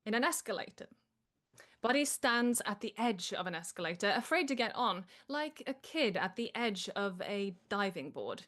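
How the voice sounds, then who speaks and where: A woman's voice: medium pitch, big pitch swings, moderate pace, a bit bright. A woman reading stage directions as the narrator of a live comedy table read.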